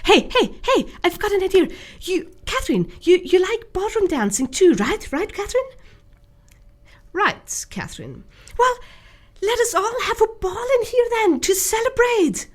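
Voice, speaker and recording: High-voiced, excitable, stammering actress playing a young woman. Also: a little slow, full character voice. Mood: eager, coaxing. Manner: stammering, repeating herself, excitable. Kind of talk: radio drama acting.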